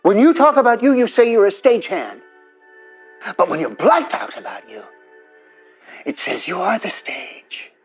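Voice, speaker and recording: An actor playing a domineering, wealthy 1950s presidential candidate, pitching grandly and persuasively over a telephone. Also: male voice, huge pitch swings, normal speed, pauses often, husky, full character voice. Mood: grandiose. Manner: grandiose, persuasive salesman patter. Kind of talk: audio drama acting, telephone dialogue. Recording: dull telephone-style sound, faint music.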